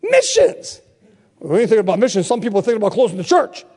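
A man's voice: high-pitched, very animated, fast talker, a little mellow, slightly rough. An older man preaching a sermon, repeating key phrases and calling out questions for the congregation to answer. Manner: call-and-response, emphatic repetition.

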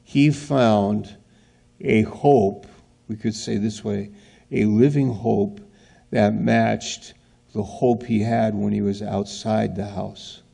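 A man's voice: on the low side, steady tone, slow, gravelly, warm tone, slightly rough. A man preaching an earnest sermon who asks rhetorical questions and acts out scenes.

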